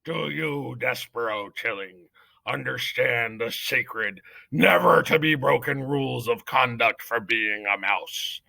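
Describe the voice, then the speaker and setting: A man voicing a pompous old male head mouse who judges a trial, speaking sternly and slowly. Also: gravelly, sharp tone, dry recording, exaggerated voice, rough recording. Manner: booming, stern, slow and solemn. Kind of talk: audiobook character reading.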